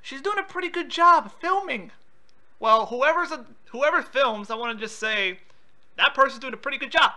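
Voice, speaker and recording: High voice, very bright and sharp, prank caller, pushy, confrontational questioning. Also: animated, moderate pace. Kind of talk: prank phone call.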